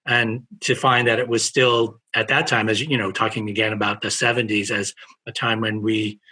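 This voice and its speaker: A man in his sixties, Italian by first language, hosting a conversation with a bright, husky voice. He thinks aloud as he goes, stopping and restarting his sentences while telling a story. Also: lowish voice, flat delivery, moderate pace. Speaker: interviewer, host. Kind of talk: conversational interview.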